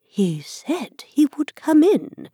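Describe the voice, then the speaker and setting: Woman acting rambling old storybook queen, absent muttering, slow, wandering lively pitch. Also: no echo, clean studio sound, heavily acted. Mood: musing. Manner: low, absent muttering to herself. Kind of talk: storybook character reading.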